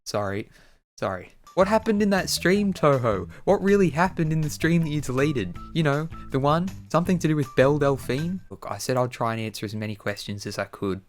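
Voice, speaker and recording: A man's voice: on the high side, animated, a little fast. Young Australian man chatting over music. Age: early 20s. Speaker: YouTuber answering viewer questions. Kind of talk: casual Q&A video chat.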